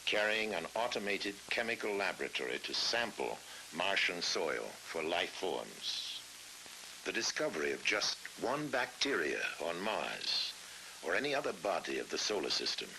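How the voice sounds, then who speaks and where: A man reading scripted documentary narration in a measured, solemn manner. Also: deep voice, pushed and bright, low-quality recording. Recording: faint tape hiss.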